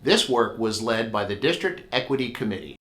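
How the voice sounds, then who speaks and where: A man reading a scripted narration for a school documentary in a smooth delivery. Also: fairly low pitch, animated, fairly quick. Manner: smooth, read-aloud delivery.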